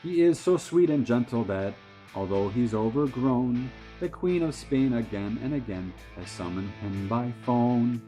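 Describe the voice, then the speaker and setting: A man's voice: mid-range voice, animated, measured pace, mellow, soft background music, pitched above the performer's own voice, close to an everyday voice. A man voicing an eager, cheerful small boy who reads out rhyming verse in a sing-song way.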